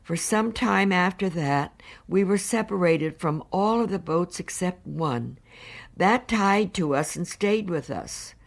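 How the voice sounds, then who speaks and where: Casting: composed woman survivor testifying in a docudrama, lowish voice, animated intonation, formal and precise. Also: gravelly, full character voice. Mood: composed, earnest. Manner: measured, formal, precise recounting. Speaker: actor reading witness testimony. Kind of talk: dramatised reading of hearing testimony.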